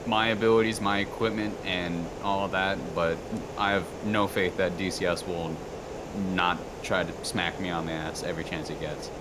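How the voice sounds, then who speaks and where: Wry low-voiced man in voice chat. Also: steady tone, moderate pace. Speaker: stream guest. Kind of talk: casual gaming chat. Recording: noisy voice chat audio.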